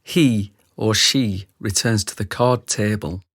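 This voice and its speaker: An actor playing a brutish ex-soldier who narrates a radio drama, speaking straight to the listener. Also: male voice, on the low side, takes it slowly, no room sound, studio quality. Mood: grave, confiding. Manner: grave, confiding, addresses the listener directly. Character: remorseful thick brute ex-soldier, male confessional narrator.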